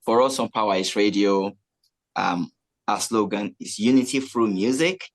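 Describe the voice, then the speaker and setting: A man's voice: lowish voice, moderate pace. A man hosting a radio interview, friendly and chatty, with frequent filler phrases. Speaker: radio host.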